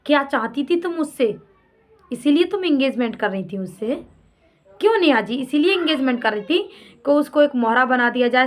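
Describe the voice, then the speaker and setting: A woman with a fairly high voice speaks as a vlogger in an unscripted rant. She sounds indignant and scolding, firing off rhetorical questions and repeating phrases for emphasis. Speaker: vlogger commenting on a personal drama. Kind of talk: unscripted opinionated rant addressed to absent people.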